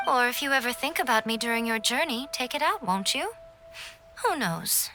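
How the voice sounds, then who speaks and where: A woman's voice: fairly high pitch, expressive, moderate pace, music in the background. A woman voicing a sly fox-spirit shrine priestess in a video game, silky, teasing and knowing.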